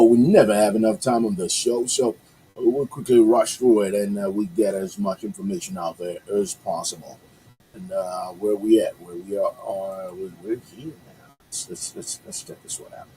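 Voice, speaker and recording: A man's voice: on the low side, warm tone, a little hiss or hum, rough recording. A male radio host whose first language is Yoruba, chatting casually on a morning show and rambling with lots of fillers and restarts. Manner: rambling, many fillers and restarts, upbeat asides.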